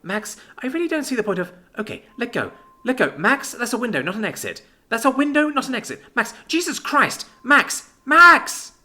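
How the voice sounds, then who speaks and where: A man voicing Libby, a fussy, reclusive young woman who speaks high and fretful. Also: very animated, fast, hardly any pauses. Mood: panicked. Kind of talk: audiobook character voice.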